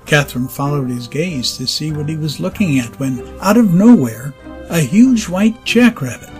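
Male narrator for dramatized fiction, fairly high voice, light music underneath. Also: expressive, moderate pace, a little mellow.

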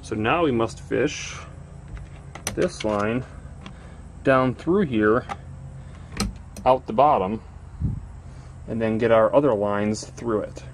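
A man giving a casual how-to narration of his DIY work, plain-spoken and relaxed, with plenty of "uh" fillers. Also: medium pitch, slow, long pauses, a little hiss or hum. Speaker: DIY mechanic vlogger.

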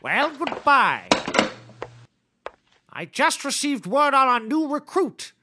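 An actress playing a composed, solemn cult leader in a comedy audio drama, measured, formal and dry. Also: female voice, high voice, big pitch swings, bright, forceful tone, exaggerated voice. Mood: businesslike.

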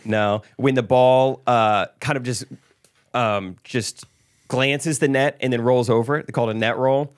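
A man's voice: medium pitch, steady tone, a little slow. A young man co-hosting a podcast, asking dry teasing questions and interrupting playfully, on a studio mic. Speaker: podcast co-host. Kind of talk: casual chat. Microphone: studio mic on boom arm, headphones.

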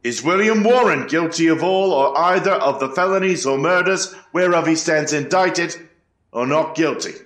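A man's voice: a little high, expressive, normal speed, a bit bright. A man playing an eighteenth-century court clerk, calling out formal, ritual proclamations to a jury. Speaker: actor in a historical trial reenactment. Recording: echoey room.

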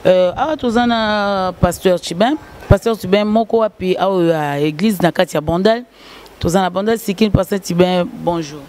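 A woman's voice: lowish voice, normal speed, darkish tone. A woman hosting a television interview in a church hall, talking with a handheld mic and many fillers. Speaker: TV host.

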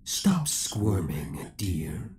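A man's voice: sits low, big pitch swings, extremely slow, a little mellow, slight background noise, full character voice. A man playing an obsessive young villain in a roleplay audio drama, theatrical and formal, taunting with cold affection. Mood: possessive, controlled. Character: obsessive young male villain, possessive, menacing, coldly affectionate.

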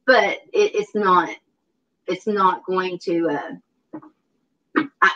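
A woman's voice: medium pitch, a bit bright, far from the mic, dull audio, slight background noise. A middle-aged woman with a Southern US accent, rambling and hesitant as she reads tarot cards on a video call from her home. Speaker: tarot reader guest. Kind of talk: casual tarot reading on a livestream. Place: home room indoors. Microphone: video call webcam.

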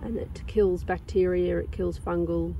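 A young woman with a croaky sore throat, chatting casually and rambling with lots of ums while filming her garden outdoors on a phone. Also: on the low side, fairly even intonation, a little slow, a little hiss or hum. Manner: rambling, chatty, with many ums and soft laughs. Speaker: gardening vlogger. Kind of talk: casual chat.

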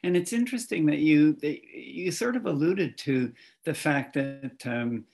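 An older man interviewing an author over a headset from a home study, halting with stammered restarts as he shapes his questions. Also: fairly high pitch, expressive, vocal fry, a little mellow.

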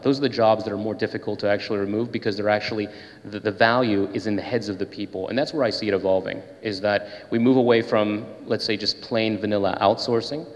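Lowish-voiced man speaking in a hall. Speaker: panelist, tech executive. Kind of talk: unscripted panel remarks. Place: panel table in brick-walled indoor hall. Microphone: handheld microphone. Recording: echoey hall.

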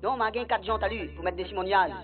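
A man's voice: high voice, very fast, over music. A man with a Réunion Creole accent playing a grumbling, cheeky heavenly gatekeeper in a comic radio serial, blustering through a telephone filter. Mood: cheeky, demanding. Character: grumbling male Saint Peter, cheeky, easily distracted heavenly gatekeeper. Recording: tinny phone-line sound.

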